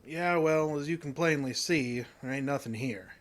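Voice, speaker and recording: Actor as a haunted rural American fishing guide. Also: male voice, a little high, normal speed, close to an everyday voice. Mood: nervous, confused. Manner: folksy, ungrammatical storytelling. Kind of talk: audio drama acting.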